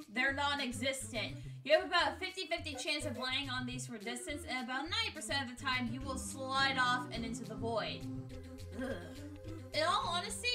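A woman's voice: high voice, distant mic. A woman hosting a gaming video, giving casual scripted countdown commentary in an exasperated, sweary, jokey way. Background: music.

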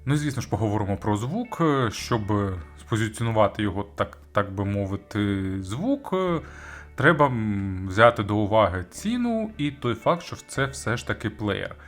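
A man's voice: fairly low pitch, sing-song, moderate pace, fairly bright tone, faint music behind. A man giving a conversational product review as host, searching for words and adding informal asides. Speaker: audio gear reviewer and host.

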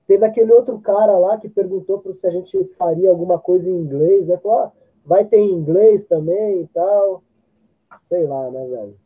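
Man, 30s, husky high voice, relaxed storyteller, muffled video call audio. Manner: relaxed storyteller, drawn-out slang asides. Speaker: podcast co-host. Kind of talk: casual chat on a video call. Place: home room with bookshelves. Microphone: laptop or earphone mic on a video call.

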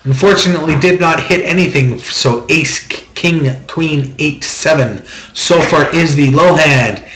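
A man's voice: fairly high pitch, a bit bright. Methodical man announcing in a roomy echo. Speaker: host of a trading-card box-break auction. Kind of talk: running card-deal commentary.

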